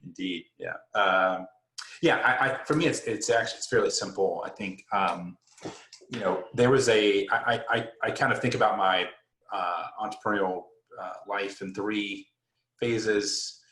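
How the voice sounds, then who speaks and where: A man with a very deep voice speaks haltingly on a panel, hesitating often. His voice sounds roomy and echoey, as if he is talking in a bare room. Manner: halting, soft-spoken, many hesitations. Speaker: startup founder and panelist. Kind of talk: casual panel talk.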